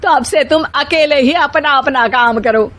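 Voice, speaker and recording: A woman's voice: high-pitched, a little slow, fairly bright tone, voice pushed up. A woman voicing a bossy, melodramatic elderly mother-in-law who scolds and wails in a comic moral story. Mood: exasperated lament. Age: older. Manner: scolding, wailing, dramatic. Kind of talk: hammy comic Hindi moral-story acting.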